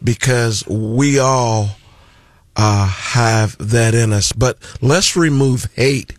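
A man with a deep voice, a guest on a radio talk show, speaking plainly and deliberately. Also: no room sound, clean studio sound. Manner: slow, deliberate, plain-spoken. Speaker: guest, community activist. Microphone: studio microphone.

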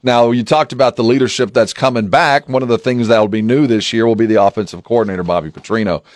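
A man hosts sports radio in a medium-pitched voice, setting up topics and asking questions conversationally. The sound is close and dry, as from a studio microphone. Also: fairly even intonation, fast talker, dry recording.

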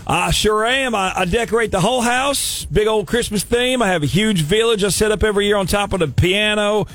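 A man's voice: high-pitched, a little fast, a bit bright. A man playing a folksy, gleeful Christmas village collector on a radio prank call, chatty with old-timey cowboy exclamations. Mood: cheery, eager.

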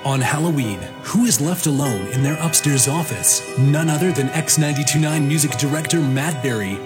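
A man acts as a radio-play narrator with a fairly high male voice. He reads the scripted story in a dramatic, wry way over clearly audible music. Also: moderate pace, natural voice. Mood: suspenseful.

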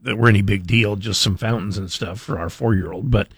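A man's voice: on the low side, fairly even intonation, a little fast, creaky, slightly rough, no echo. A man co-hosting a casual morning radio show, making dry, laid-back remarks.

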